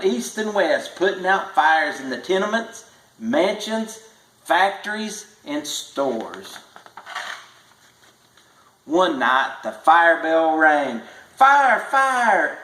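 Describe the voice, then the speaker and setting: A man reads a storybook tall tale aloud at a very slow pace. His voice is a little high for a man, with measured emphasis, and the room is clearly echoey. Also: fairly bright tone. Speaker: storybook reader.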